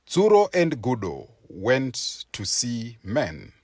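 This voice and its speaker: A man reading a folktale aloud as a storyteller, with expressive, deliberate and dramatic phrasing for young listeners. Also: mid-range voice, slightly rough, no room sound, studio quality. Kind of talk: expressive scripted story narration for children.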